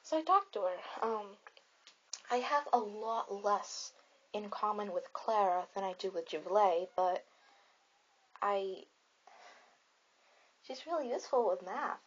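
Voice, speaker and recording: A woman playing a friendly popular teenage girl in an ASMR roleplay, chatting softly and reassuringly in a rambling, casual way. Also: mid-range voice, lively intonation, a little slow, pauses often, muffled recording. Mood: chatty, friendly.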